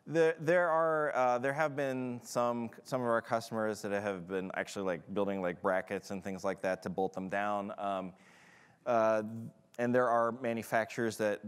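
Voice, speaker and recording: Relaxed man answering questions in a hall. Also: lowish voice, smooth. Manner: hesitant, many fillers and restarts, casual. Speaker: presenter answering audience questions. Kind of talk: conference Q&A. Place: large conference hall. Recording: long hall reverb.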